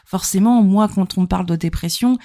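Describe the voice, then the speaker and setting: A woman's voice: mid-range voice, moderate pace, warm tone. A woman from Normandy, talking informally as a podcast host and therapist, explaining things conversationally and addressing the listener directly. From Normandy, France. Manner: conversational, explanatory, punctuated with rhetorical questions.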